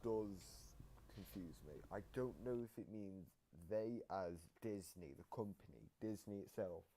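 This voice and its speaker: Male co-host, very low voice, casual explainer piecing ideas together, faint noise on the recording. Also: measured pace, warm tone, poor audio. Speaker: co-host explaining game lore.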